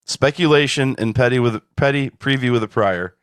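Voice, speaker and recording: A man co-hosting a casual podcast, dry and deadpan, reading listener reviews with a chuckle. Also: mid-range voice, normal speed, smooth, no room sound. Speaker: podcast co-host.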